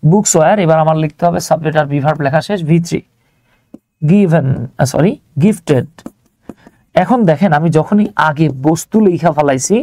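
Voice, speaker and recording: Male tutor, Bangladeshi accent, conversational step-by-step explaining, relaxed warm tone, close dry sound. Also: fairly high pitch, no room sound. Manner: conversational, checks on learners with rhetorical questions. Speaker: English grammar teacher. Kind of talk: informal grammar lesson, explaining step by step.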